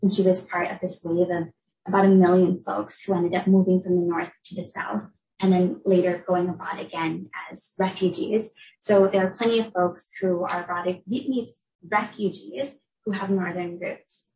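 A young woman from the United States giving an academic talk as a researcher, explaining in a clear, measured way. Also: fairly low pitch, fairly even intonation, darkish tone, a little hiss or hum. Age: young adult. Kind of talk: academic lecture. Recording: echoey room, voice from a distance.

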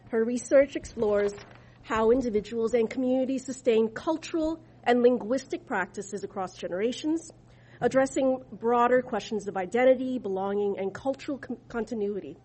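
A woman opens the session with a prepared introduction of the presenter. She reads it in a measured, formal way, and her voice sits a little on the high side. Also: a little mellow, poor audio. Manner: measured, read from a script. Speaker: event moderator introducing the speaker.

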